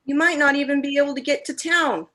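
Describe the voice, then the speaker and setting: Lady doing a scared stubborn little boy for a radio play, high bright voice. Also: steady tone, quick pace. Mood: frightened, insistent. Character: frightened, defiant young boy in a radio mystery.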